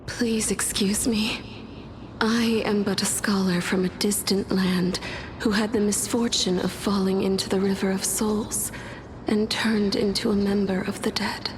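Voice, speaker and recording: A woman playing a courteous, world-weary dead scholar in a fantasy game, with a breathy, resigned and philosophical delivery. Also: medium pitch, level tone, measured pace, background noise, natural voice. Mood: courteous, hesitant. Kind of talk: dubbed fantasy game dialogue. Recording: orchestral game music behind.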